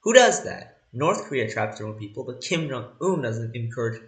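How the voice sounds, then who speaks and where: A man's voice: medium pitch, big pitch swings, normal speed, a bit bright. A man playing an indignant news anchor who comments on live events in an emphatic, incredulous, conversational way, recorded in a roomy space. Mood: outraged.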